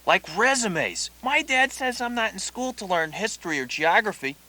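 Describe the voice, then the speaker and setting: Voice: medium pitch, expressive, moderate pace, bright, forceful tone. A performer playing a precocious, argumentative schoolboy with a know-it-all manner in a satirical radio play. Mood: eager, challenging. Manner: pointed, know-it-all questioning.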